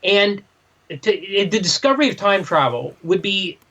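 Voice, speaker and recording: Very high-pitched man, slightly dull sound. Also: lively intonation, a little slow, pushed and bright. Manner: questioning, thinking aloud in broken phrases. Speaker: podcast co-host. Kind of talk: casual discussion of a graphic novel.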